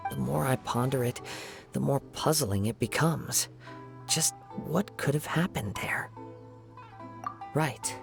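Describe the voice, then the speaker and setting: An actor playing a calm, courteous young wandering samurai in a video game, speaking in a measured, formal and reflective way. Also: male voice, medium pitch, gravelly, over music. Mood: pondering.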